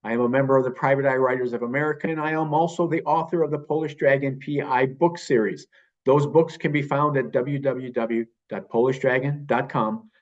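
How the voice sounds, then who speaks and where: A man hosts a podcast of old radio detective shows and presents the next episode. He talks in a promotional, repetitive way, with his pitch in a middling range. The sound is a little dull. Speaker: podcast host. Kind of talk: podcast introduction.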